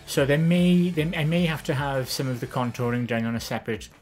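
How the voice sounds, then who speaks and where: A woman with a Welsh accent, chatting casually on a live stream. Her voice is soft and gentle but low, and she rambles with frequent ums and restarts. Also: steady tone, brisk, faint music behind. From Wales, the Valleys. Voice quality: soft, gentle voice with a lower, less feminine tone. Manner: chatty and rambling, with many ums and self-corrections. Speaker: live streamer answering viewer questions.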